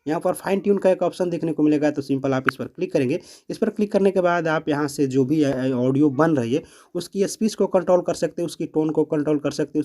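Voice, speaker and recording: A man presenting a step-by-step app tutorial, explaining and repeating each step. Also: on the high side.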